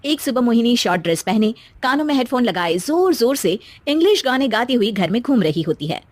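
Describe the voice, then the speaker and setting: A woman with a high voice narrates a scripted story. Her delivery is very lively in pitch, and the recording is close and dry. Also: brisk, dry recording.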